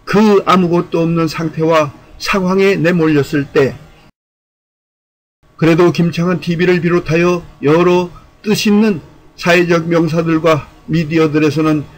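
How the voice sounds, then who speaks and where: A man's voice: on the high side, poor audio. A man delivering scripted commentary in a formal, speech-like way, with an earnest, rhetorical, declamatory manner. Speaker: YouTube channel commentator.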